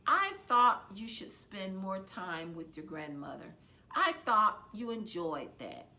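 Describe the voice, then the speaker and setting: A woman's voice: medium pitch, sing-song, slow, pushed and bright, heavily acted. A woman voicing a tense, tired mother of two girls in a storybook reading, with clipped, strained delivery. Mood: clipped, annoyed.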